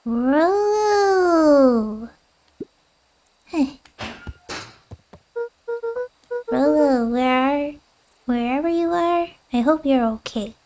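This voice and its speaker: A woman's voice: high-pitched, animated, drawn-out words, a little mellow, faint music behind, heavily acted. A woman voicing a curious, earnest young boy in a video game, with a wistful manner. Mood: worried.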